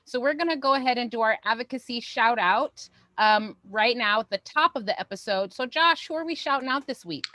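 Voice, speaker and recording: A woman with a fairly high voice, recorded close and dry, co-hosts an online theatre show. She gives informal, upbeat announcements to viewers in a conversational way. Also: brisk, pushed and bright, dry recording.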